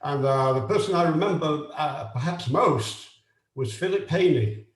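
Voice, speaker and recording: A man with an English accent, a guest joining a radio talk show by video call from home, speaking in a relaxed, conversational way. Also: fairly high pitch, moderate pace. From England. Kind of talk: casual interview. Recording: echoey remote call line.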